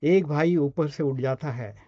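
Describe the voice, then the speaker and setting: A man's voice: a little high, unhurried, mellow, dry recording, lo-fi sound. A man with an Indian accent coaching in a conversational, explanatory way, often checking in with short questions. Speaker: voice and radio scriptwriting coach. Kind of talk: conversational online teaching.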